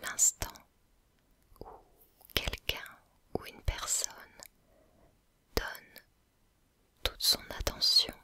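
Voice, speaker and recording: Man, soft unvoiced whisper, very slow, musing, hesitant, ASMR creator. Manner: musing, reflective.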